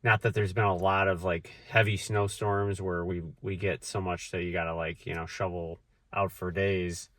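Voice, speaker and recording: A man's voice: low voice, level tone, normal speed, fairly bright tone, dry recording. A man with a cold, talking casually to the camera as a vlogger, rambling with lots of fillers and restarts. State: has a cold, voice deeper than usual. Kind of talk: casual vlog talk.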